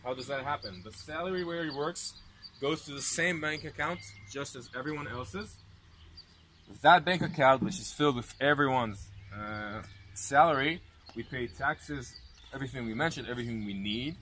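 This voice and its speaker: A man's voice: medium pitch, moderate pace, bright, forceful tone. Male tour guide explaining over outdoor noise. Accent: English with Anglo influence from American and South African parents. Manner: explains step by step with rhetorical questions and check-ins. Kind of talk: informal explanatory tour talk. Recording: clear outdoor ambient noise.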